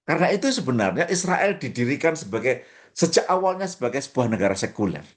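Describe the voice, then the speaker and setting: A man from Indonesia, talking through history like a lecturer. His voice sits at an ordinary middle pitch. He pauses often, fills the gaps with hesitations and restarts his sentences as he thinks aloud. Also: very animated. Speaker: commentator. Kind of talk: explanatory political chat.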